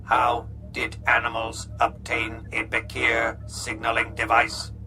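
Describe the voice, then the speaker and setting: A man putting on a menacing alien warrior in an audiobook, speaking in a dead-flat, commanding monotone with no rise or fall at all. Music plays behind the voice. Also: medium pitch, takes it slowly. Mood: cold.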